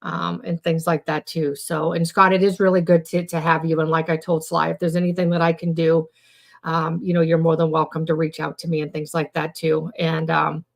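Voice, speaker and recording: A woman with a low, hoarse, gravelly voice hosts a casual live stream as a trauma coach. She rambles through her points, full of ums and restarts, sounding worn out from days of recording. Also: little pitch movement, normal speed. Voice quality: raspy. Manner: rambling, many fillers and restarts. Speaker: trauma coach hosting a weekly live show. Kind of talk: informal live-stream teaching.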